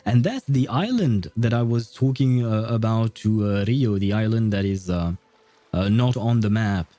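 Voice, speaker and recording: A man's voice: lowish voice, expressive, normal speed, warm tone, no room sound, soft background music. A man chatting casually and a bit hesitantly with viewers as he hosts a gaming livestream, often rambling. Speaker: livestream host. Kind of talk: casual chat while streaming a game.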